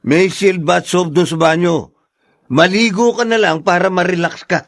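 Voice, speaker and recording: A man's voice: sits high, moderate pace. A man with a Filipino accent playing an irritable, sarcastic husband in a radio drama. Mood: irritated.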